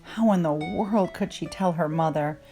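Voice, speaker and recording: A woman narrating a children's storybook aloud in an expressive, measured way. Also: lowish voice. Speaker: children's book reader. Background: plucked guitar music.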